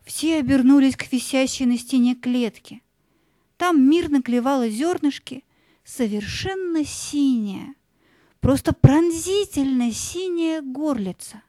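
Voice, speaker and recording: A woman narrating a scripted fairy tale as an expressive storyteller. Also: sits high, measured pace, dry recording.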